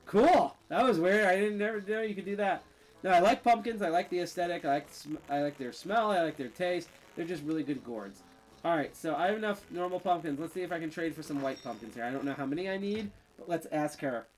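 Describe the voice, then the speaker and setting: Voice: medium pitch, lively intonation, a little fast, a little hiss or hum, rough recording. A game streamer chatting casually and a little tipsy while playing, rambling and joking as they go. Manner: rambling, jokey, trails off and restarts. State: slightly tipsy.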